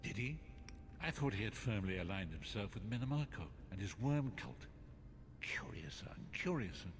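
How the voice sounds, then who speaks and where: An actor playing a solemn, cryptic elderly prophet in a fantasy video game, speaking in a measured, formal way. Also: male voice, on the low side, big pitch swings. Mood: pondering. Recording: long cavernous reverb with background noise.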